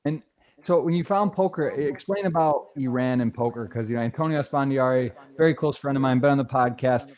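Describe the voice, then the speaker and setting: A man hosts a podcast in a relaxed, chatty way, stringing questions together and restarting his sentences as he goes. His voice sits at an ordinary middle pitch. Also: fairly quick, lo-fi sound. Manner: friendly, rambling questions with restarts. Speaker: podcast host. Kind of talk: casual interview.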